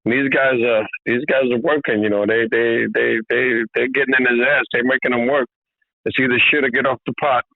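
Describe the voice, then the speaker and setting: Boxing trainer guest on a remote call, easygoing, loose "you know" phrasing, thin phone-line sound, dry. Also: male voice, medium pitch, fairly quick, creaky, dry recording. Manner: relaxed, repeats "you know" often. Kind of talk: casual remote interview. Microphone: video call. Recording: tinny phone-like call audio.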